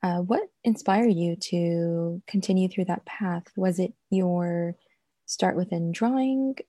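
A woman's voice: lowish voice, slow pace, warm tone, no echo, studio quality. A woman interviewing a guest, asking probing questions with hesitant ums along the way. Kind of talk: casual interview.

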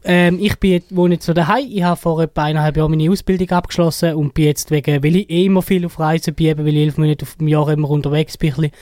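Young eastern Swiss man, slightly high voice. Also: steady tone, fast, few pauses, darkish tone, dry recording, clean studio sound. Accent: eastern Swiss German. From Herisau, eastern Switzerland. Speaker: interview guest, para ski racer. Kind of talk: casual interview talk.

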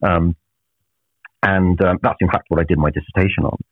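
A middle-aged man from Naples, a professor answering interview questions in a measured, reflective way with many filler pauses. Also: low voice, steady tone, no echo. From Naples, Italy. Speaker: professor, guest. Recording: tinny phone-like line.

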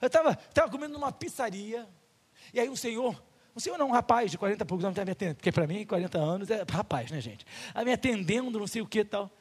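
A man's voice: high-pitched, animated, fast, slightly rough, big-room sound. A male pastor with a Brazilian Portuguese accent preaching a sermon in a church, full of rhetorical questions and acted-out little dialogues.

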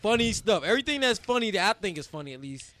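A man's voice: sits high, sing-song, quick pace, sharp tone. A man hosting a casual podcast, joking and laughing often as he chats with friends. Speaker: podcast host. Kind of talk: casual chat.

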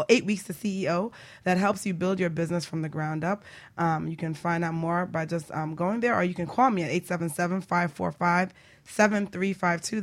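A woman hosting a casual radio show, chatting in an informal, effusive way. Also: on the low side. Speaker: radio show host and business coach.

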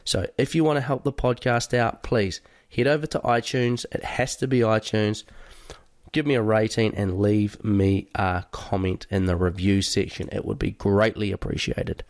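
A man hosts a podcast, his nose blocked up from a cold. His voice sits a little low and he talks in a chatty, upbeat way full of casual slang. The recording is dry and close, with no room sound. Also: steady tone, moderate pace, dry recording. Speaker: podcast host.

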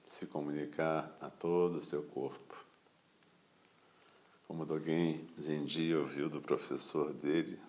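Meditation teacher, male, Brazilian Portuguese accent, extremely low voice, calm instruction in short, spaced phrases. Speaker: meditation teacher leading a guided practice.

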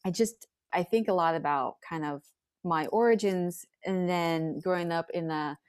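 An American woman raised in Hong Kong, sharing her personal story as a podcast guest in a thoughtful, halting, searching way. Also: lowish voice, a little mellow, smooth, no room sound, clean studio sound. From born in China, raised in Hong Kong in an American family. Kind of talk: reflective personal storytelling in conversation.

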